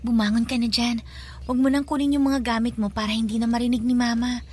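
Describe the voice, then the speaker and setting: An actress playing a kind, timid young girl in a Filipino radio drama, speaking in a hushed, childlike, earnest way. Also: on the high side, flat delivery, fast, breathy edge. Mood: hushed, caring.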